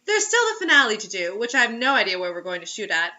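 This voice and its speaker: Woman, mocking dramatic narration, sarcastic asides, bright sharp tone, muffled lo-fi recording. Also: medium pitch, very animated, fast. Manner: sarcastic, breaks off to comment. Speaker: host reading a story aloud. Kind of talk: mocking dramatic read-aloud with casual asides.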